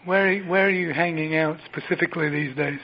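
A man's voice: on the high side, fairly even intonation, fairly quick. A man co-hosting a radio interview, asking teasing, playful questions. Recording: dull lo-fi radio sound.

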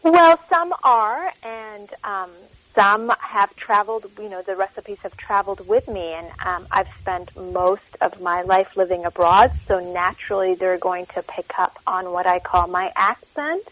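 A woman's voice: mid-range voice, unhurried, highs cut off. A woman from Iran, a cookbook author, speaking enthusiastically in a radio interview with frequent ums. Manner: warm, enthusiastic, many ums.

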